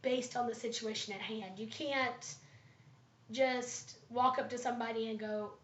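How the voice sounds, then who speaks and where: Woman, fairly high voice, slightly raspy from allergies, thoughtful with frequent ums, roomy echo, dull lo-fi sound. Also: steady tone, unhurried. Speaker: student presenter. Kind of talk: class presentation, leadership analysis.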